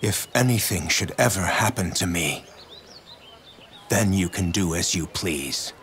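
A grave, idealistic warlord in a video game cutscene. His voice is low and very deliberate, with slow pacing and faint noise behind it. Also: expressive, fairly bright tone, full character voice. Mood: grave resolve. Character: brooding, idealistic young warlord with ambition.

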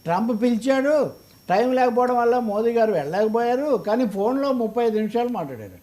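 Telugu guy doing political commentary, really high voice, argues hard, lots of rhetorical questions. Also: expressive, quick pace, dark tone. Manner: emphatic, argumentative, rhetorical questioning.

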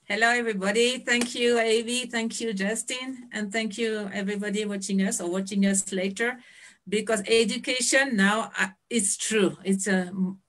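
Woman, French accent, middle-aged, headset mic, video call guest, lively laughing storyteller. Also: a little high, normal speed. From France. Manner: animated storyteller, laughs often, waves hands. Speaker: guest, education founder.

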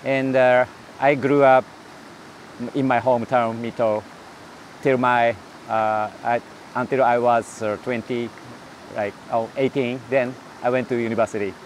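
A middle-aged man from Japan with a Japanese accent, a non-native speaker answering interview questions in English, with many pauses and fillers, recorded outdoors by a stream on a lapel mic. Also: mid-range voice, flat delivery, slight background noise, low-quality recording. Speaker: interview guest.

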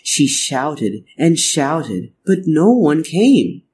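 Slow, very animated male storyteller. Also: a little high, a little hiss or hum. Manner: deliberate, expressive storytelling. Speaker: storybook narrator. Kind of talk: scripted read-along narration for children.

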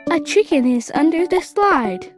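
A woman's voice: very high-pitched, sing-song, unhurried, over music. A woman reading short simple sentences aloud for early readers. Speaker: narrator.

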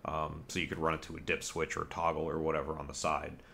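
A man's voice: a bass voice, monotone, fast talker, gravelly, fairly bright tone. A man casually walking through an electronics hobby mod at his workbench, in a rambling, self-deprecating way. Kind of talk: casual technical walkthrough. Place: home workbench with computer gear.

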